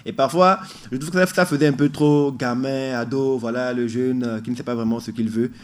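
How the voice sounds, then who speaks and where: An earnest man talking through his ideas as a guest on a radio show. He explains at length and leans hard on key words. His pitch sits in the ordinary middle range. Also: fairly even intonation, fairly quick. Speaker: musician guest. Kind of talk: radio interview. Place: radio studio.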